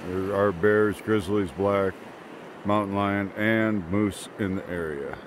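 A chatty older man in his sixties talks to his phone held at arm's length, outdoors by a creek. His voice sits a little low, with a folksy, rambling manner. Faint stream noise runs underneath. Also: slow. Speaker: vlogger. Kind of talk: casual vlog narration. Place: outdoors at a mountain campsite by a creek. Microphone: phone camera at arm's length. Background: running creek water.

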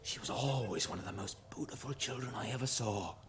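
An actor playing a haunted old country gamekeeper with a rural English accent in a 1970s radio play. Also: male voice, on the low side, brisk, noisy background, natural voice. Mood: haunted recollection. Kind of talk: 1970s British radio drama storytelling.

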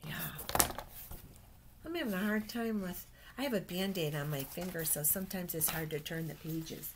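An older woman reading a picture book aloud to young children, exclaiming and pointing things out with drawn-out words. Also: on the low side. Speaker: storytime reader for children. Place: small office, indoors. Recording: noisy webcam recording with clicks.

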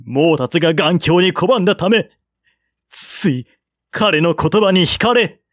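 A man's voice: high-pitched, expressive, takes it slowly, no room sound, clean studio sound, voice pushed up, exaggerated voice. Man playing a pleading, defeated young general. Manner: earnest, pleading self-justification.

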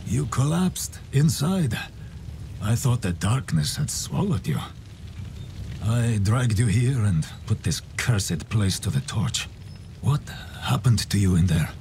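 A man's voice: fairly low pitch, sing-song, darkish tone, slight background noise, heavily acted. A man playing a stern, devout holy man who fears demons, speaking solemnly in a fantasy game cutscene. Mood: concerned. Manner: solemn, measured, pious. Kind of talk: dramatic video game cutscene acting.

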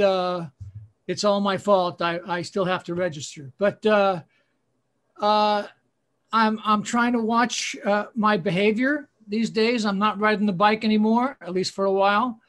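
Comedian in his sixties, fairly high voice for a man, deadpan and rambling, on a webcam. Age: 60s. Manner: rambling, self-deprecating, halting with fillers. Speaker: stand-up comedian. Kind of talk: deadpan stand-up routine.

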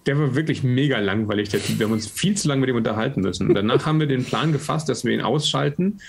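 A man with a slightly husky voice, casually recapping a plan as a player in a tabletop game over a video call. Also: mid-range voice, fast.

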